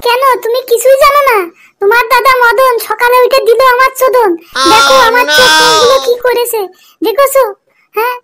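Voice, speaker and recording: Squeaky, pitched-up plaintive cartoon wife. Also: female voice, steady tone, a little fast, music in the background. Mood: aggrieved, pleading. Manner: plaintive, insistent. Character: aggrieved, pleading young village wife, nagging her brother-in-law. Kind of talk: comedy cartoon dialogue.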